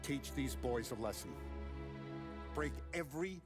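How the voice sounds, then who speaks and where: An actor playing an older mafia boss, paternal yet vengeful, speaking with deliberate force in a cinematic game. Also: male voice, medium pitch, lively intonation, music in the background, lightly acted.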